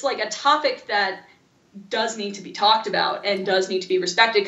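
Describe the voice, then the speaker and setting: A woman co-hosting a podcast in casual, opinionated chat, speaking in an animated, emphatic way. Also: mid-range voice, echoey room, dull audio. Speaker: podcast co-host.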